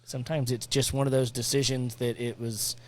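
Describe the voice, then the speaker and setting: A man's voice: medium pitch, level tone, a little fast, a little mellow. A man hosting a casual podcast, encouraging his guest and rambling through his thoughts. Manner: encouraging, rambling, restarts often. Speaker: podcast host. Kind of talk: casual conversation.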